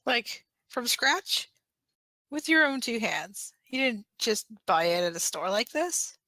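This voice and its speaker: A woman playing an excitable, nervous teenage boy with a dramatic, incredulous manner in an amateur audio drama. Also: fairly high pitch, slow pace, no room sound, heavily acted. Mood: awestruck.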